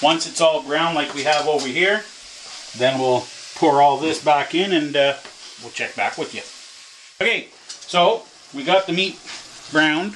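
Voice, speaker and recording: A middle-aged man chats casually as he cooks, tossing off jokes and playful back-and-forth. He is picked up by a camera across a small, echoey home kitchen, with sizzling pans and stirring clearly audible behind him. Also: fairly high pitch, fairly bright tone. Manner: joking, offhand, bickers playfully. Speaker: trucker vlogger cooking at home. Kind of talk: casual cooking demo. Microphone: camera across the room. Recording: echoey kitchen with cooking noise.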